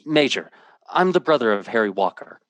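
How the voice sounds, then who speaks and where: A man's voice: medium pitch, big pitch swings, a little fast, fairly bright tone, no echo. An actor playing a worried, impatient American man who searches for his missing brother and narrates it in radio drama style, earnest and clipped. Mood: impatient, indignant. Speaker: lead actor and first-person narrator.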